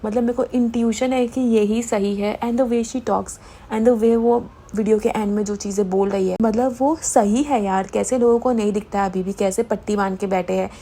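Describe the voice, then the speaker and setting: A woman's voice: medium pitch, fairly even intonation, moderate pace, warm tone. A young woman hosting a gossip commentary show, chatting casually and switching freely between Hindi and English.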